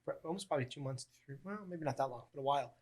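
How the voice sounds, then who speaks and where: A man in his early twenties, co-hosting a podcast, chatting casually and rambling with many pauses and ums. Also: medium pitch, darkish tone. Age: early 20s. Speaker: podcast co-host.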